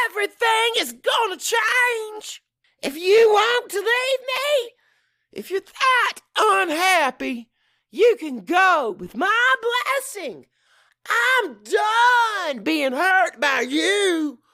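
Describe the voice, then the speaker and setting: A man voicing an anguished, defiant wife in an audiobook drama, tearful and forceful with a raised voice. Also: very high voice, voice pushed up, full character voice. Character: anguished, defiant wife standing up to her husband.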